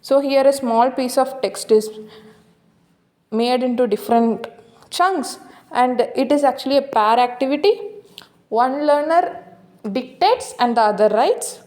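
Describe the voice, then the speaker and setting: A woman with a distinctly high voice and a South Indian accent gives a lesson. She reads out exam questions and options, then explains each answer step by step in an instructional manner. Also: a little mellow. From Tamil Nadu, India. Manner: explanatory, checks with "right?" and "okay". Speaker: teacher.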